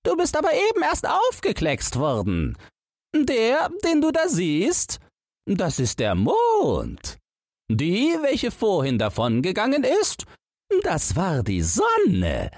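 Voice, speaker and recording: A man voicing a hoarse old chained dog, a gruff know-it-all who barks and lectures in a storybook tale. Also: huge pitch swings, slow pace, a little mellow, dry recording, clean studio sound, heavily acted. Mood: lecturing, scornful. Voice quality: hoarse, strained high barking voice. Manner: barking, curt, lecturing.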